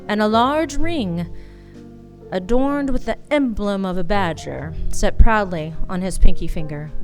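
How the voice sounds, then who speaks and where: A woman playing a tough, wry private detective in a noir radio play, with a halting delivery. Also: medium pitch, close to an everyday voice. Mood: grave. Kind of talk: noir radio play acting, first-person narration. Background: music behind the voice.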